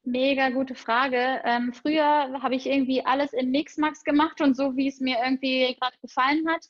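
Young woman, high voice, enthusiastic chatty guest; dry, muffled sound. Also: level tone, moderate pace, dry recording. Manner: enthusiastic, spiritual, chatty with fillers. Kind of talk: casual conversation.

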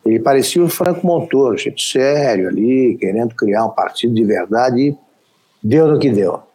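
Brazilian man, talk show host, mellow tone, husky voice, wry political opinions. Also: mid-range voice, animated, moderate pace. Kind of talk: political commentary.

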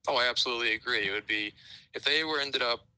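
A man's voice: mid-range voice, quick pace, pushed and bright, smooth, dry recording. A young man calling in to a sports radio show, speaking eagerly and emphatically. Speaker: caller, college sports fan.

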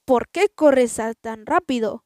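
Woman voicing a slow, hungry huge goose. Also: a little high, a little mellow, dry recording, studio quality. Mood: coaxing. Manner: slow, drawn out.

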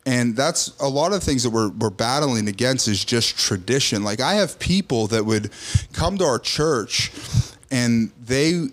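Earnest pastor talking in a podcast. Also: male voice, medium pitch. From Serbian heritage, raised Serbian Orthodox. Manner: earnest, repeats phrases for emphasis. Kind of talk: casual podcast conversation.

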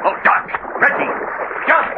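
A man's voice: unhurried, very noisy, close to an everyday voice. A man playing a cool-headed, brave leader of adventurers in an old radio drama, dry and commanding. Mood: urgent. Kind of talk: 1940s radio adventure acting. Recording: muffled old radio recording.

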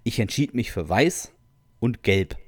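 A man's voice: lowish voice, animated, a little slow, no echo, studio quality. A man co-hosting a podcast, reading out listener stories in an amused, informal way. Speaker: podcast co-host.

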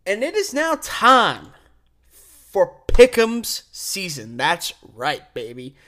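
A man's voice: high voice, wildly swooping pitch, takes it slowly, fairly bright tone. A man hosting a solo sports show, giving opinionated commentary with emphatic delivery and words stretched out for stress. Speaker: sports podcast host.

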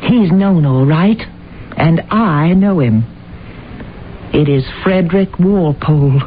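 An actress playing an eager, nosy and self-assured amateur sleuth in an old-time radio drama, with an excitable, stammering delivery. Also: female voice, deep voice, takes it slowly. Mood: confident, insistent. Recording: tinny, noisy old radio recording.

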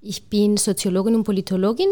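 A woman with a Spanish accent, a native Spanish speaker, holds forth as a panel guest at a mid pitch. She speaks earnestly and fluently, stopping now and then to rephrase. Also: steady tone, quick pace, warm tone. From Spain. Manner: earnest, fluent, with searching restarts. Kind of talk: panel discussion.

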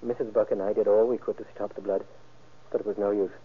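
An actor playing a shaken, wounded husband in an old-time radio crime drama, speaking haltingly and earnestly. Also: male voice, fairly low pitch, flat delivery, breathy edge. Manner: halting, earnest witness account. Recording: muffled, band-limited vintage radio recording.

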